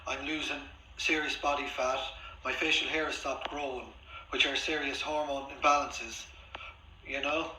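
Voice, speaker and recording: A man's voice: medium pitch, steady tone, moderate pace, bright, forceful tone. A man arguing his case in a phone conversation as a patient, insistent, repetitive and complaining. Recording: echoey, faintly noisy room, recorded phone call.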